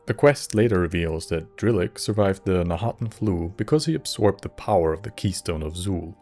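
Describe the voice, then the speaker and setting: Low-voiced man narrating a video essay. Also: animated, normal speed, darkish tone, soft background music. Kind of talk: scripted narration with casual asides.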